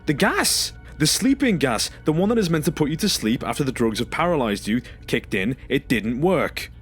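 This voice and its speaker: A man's voice: a little high, very animated, moderate pace, soft background music. A man acting in an audio drama as a distressed, sarcastic and exasperated survivor, indignant and pleading. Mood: desperate, insistent.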